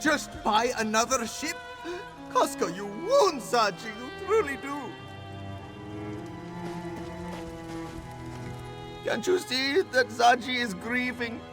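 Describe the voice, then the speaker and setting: A man's voice: very high voice, animated, measured pace, over music, exaggerated voice. A man playing a theatrical, roguish cat-folk smuggler captain in a fantasy game, speaking melodramatically of himself in the third person. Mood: melodramatic grief. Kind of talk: fantasy video game dialogue.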